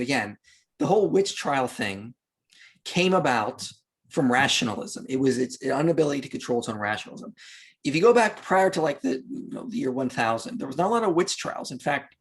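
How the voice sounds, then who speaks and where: A man's voice: mid-range voice, breathy edge. A man speaking as a podcast guest, explaining and debunking informally in emphatic, punchy phrases.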